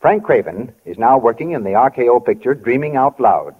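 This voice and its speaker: Muffled vintage radio recording of an American male announcer. His voice is husky and medium in pitch, and he reads formally in a measured 1940s announcing style. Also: expressive.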